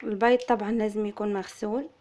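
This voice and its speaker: A woman with an Algerian Arabic accent who is slightly hoarse from illness, calmly explaining a recipe as a cooking-channel host. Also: mid-range voice, racing. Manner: soft, subdued, steady explaining. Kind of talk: recipe tutorial voice-over.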